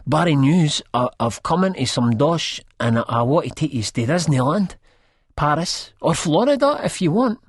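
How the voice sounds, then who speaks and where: An actor playing a rambling, good-hearted, drug-addled man from Leith with a husky Edinburgh accent, telling his story in an audiobook. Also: on the high side, lively intonation, normal speed, dry recording, clean studio sound. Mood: excited, pleading. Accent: Edinburgh Scottish. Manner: colloquial, rambling, peppered with "man". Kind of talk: first-person audiobook narration, acted in character.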